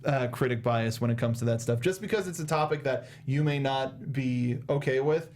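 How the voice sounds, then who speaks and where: A man's voice: medium pitch, moderate pace, a little mellow, slight background noise. A young American man co-hosting a movie review show in casual, conversational style on a close studio microphone. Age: young adult. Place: small indoor studio. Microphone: close studio microphone with foam windscreen.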